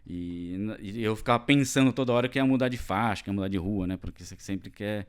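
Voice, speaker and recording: A man's voice: fairly low pitch, quick pace, clean tone. A man from Brazil talking casually as a podcast guest, rambling with many fillers and tag questions. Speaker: podcast guest, tax executive. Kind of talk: casual interview chat.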